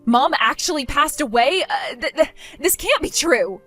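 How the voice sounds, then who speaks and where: A woman's voice: high-pitched, expressive, moderate pace, a bit bright, soft background music. A woman playing a self-centered young bride who whines and pleads with her sister, in a scripted drama. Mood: shocked.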